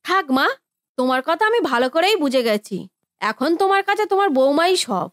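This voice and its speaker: Woman as a high, animated, touchy eldest daughter. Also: moderate pace, no echo, studio quality. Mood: offended. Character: touchy, defensive married eldest daughter, easily offended. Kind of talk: Bengali family cartoon voice acting.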